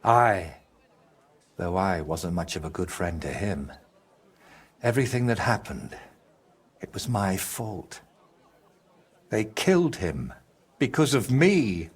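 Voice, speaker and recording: An actor playing a troubled, guilt-ridden medieval painter in a video game, with a husky, halting and brooding delivery. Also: male voice, sits low, very animated, exaggerated voice. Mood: troubled, guilty. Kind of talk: video game dramatic dialogue.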